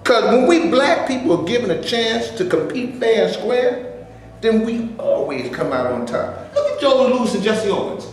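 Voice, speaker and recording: A roomy, distant recording of a staged reading with faint noise. An actor plays an ambitious, resentful man who dreams of making movies. His voice is high for a man and moves up and down in an animated way. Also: moderate pace. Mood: proud, frustrated. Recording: echoey room, distant mic, faint noise.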